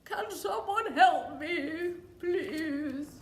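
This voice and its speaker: A woman voicing a big needy lion from a children's picture book, loud, drawn-out and theatrical. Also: extremely high pitch, roomy sound, voice pushed up, exaggerated voice. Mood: miserable. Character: big male lion with a cold, needy then contented.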